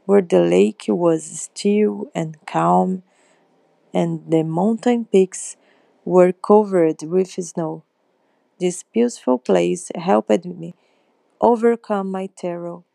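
A woman reads a story aloud at a very slow pace. Her voice is heavily creaky and mellow, recorded close and dry. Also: on the low side, no room sound. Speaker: participant reading aloud. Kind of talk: reading first-person story aloud.

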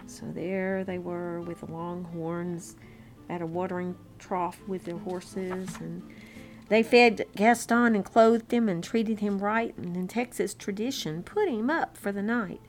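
A woman reading a children's story aloud in an expressive, unhurried, amused manner, with chatty asides. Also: medium pitch. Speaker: children's story-time reader. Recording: classical violin music bed. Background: classical violin concerto background track.

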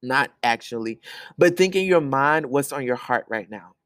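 A man's voice: medium pitch, lively intonation, moderate pace, fairly bright tone, no echo, studio quality. A man hosting a podcast, giving an earnest, sermon-like talk of encouragement from his bedroom, repeating phrases for emphasis. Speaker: podcast host.

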